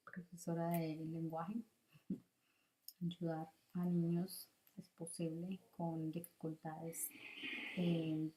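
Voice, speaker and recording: Slow, low-voiced woman presenting. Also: mellow, low-quality recording. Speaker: student teacher giving a presentation. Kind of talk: prepared spoken presentation, partly improvised.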